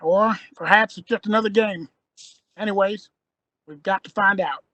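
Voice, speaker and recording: A woman reading the part of a brisk young male adventurer in a live amateur radio play, giving orders and urging others on. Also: medium pitch, a bit bright, no room sound, close to an everyday voice. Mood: determined.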